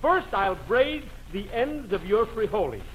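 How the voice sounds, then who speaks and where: Muffled old radio broadcast: fussy, pompous male boss, very high, scolding, flustered. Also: big pitch swings, unhurried, noisy background. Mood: fussy, bossy. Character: blustering, pompous, exasperated restaurant boss. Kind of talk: 1940s radio comedy acting.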